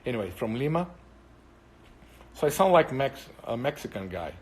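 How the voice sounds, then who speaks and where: A man's voice: mid-range voice, big pitch swings, moderate pace. Brazilian man rambling through viewer chat. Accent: Brazilian Portuguese. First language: Portuguese. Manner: rambling, hesitant with many fillers. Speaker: musician answering viewers. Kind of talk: casual live-stream chat.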